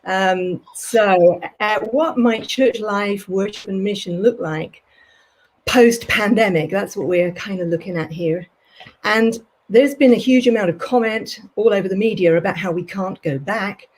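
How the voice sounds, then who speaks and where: A woman's voice: mid-range voice, measured pace, slight background noise. A woman academic talking conversationally as a panelist in an online discussion. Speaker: panelist, theology professor and college principal.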